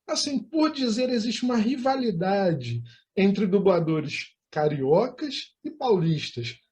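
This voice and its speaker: Brazilian male host, high-voiced for a man. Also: sing-song, slow pace, darkish tone. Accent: Brazilian Portuguese. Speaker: interview host. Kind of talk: conversational interviewing with formal phrasing.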